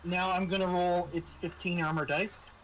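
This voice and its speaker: Man, high-pitched, game master, casual halting explanations, clear background noise. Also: level tone, fairly bright tone. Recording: clearly noisy room recording.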